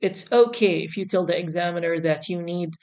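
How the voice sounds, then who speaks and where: A woman teaching an English exam lesson in a deliberate, reassuring manner, repeating her key points as she goes. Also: lowish voice, darkish tone. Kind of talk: instructional lesson to camera.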